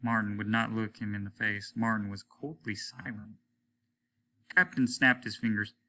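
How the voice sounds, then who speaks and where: Bright-voiced man narrating a story. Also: on the low side, steady tone, normal speed, slight background noise. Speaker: teacher reading a story aloud. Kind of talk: expressive scripted story narration.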